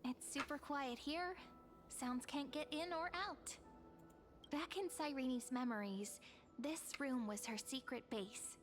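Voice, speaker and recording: A high-pitched, gentle young game heroine speaks over music. Her delivery is soft and measured, wistful and earnest with a playful edge. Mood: calm, earnest. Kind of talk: video game story voice acting.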